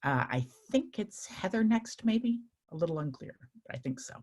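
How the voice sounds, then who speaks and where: A woman who runs a performance festival, speaking on a video call in a friendly, halting way with many fillers. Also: mid-range voice, sing-song, darkish tone. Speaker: festival director. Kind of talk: casual group check-in on a video call.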